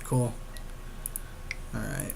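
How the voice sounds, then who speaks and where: A man's voice: lowish voice, fairly even intonation, extremely slow, vocal fry, heavy background noise. A man co-hosting a podcast, casually talking through plays in a card game. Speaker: podcast co-host.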